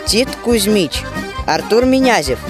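A woman's voice: mid-range voice, sing-song, measured pace. A woman reading scripted cast credits as announcer. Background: loud music.

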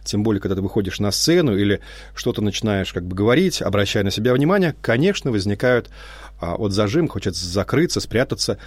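A man's voice: on the low side, no echo. A man directing a radio theatre, giving a reflective spoken introduction to a radio play, explaining at length with hesitant fillers.

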